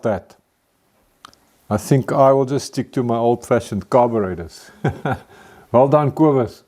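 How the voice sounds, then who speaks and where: A middle-aged man from South Africa with an Afrikaans accent, hosting a casual car-building vlog, relaxed and chatty with frequent chuckles and the odd stumble over words. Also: mid-range voice.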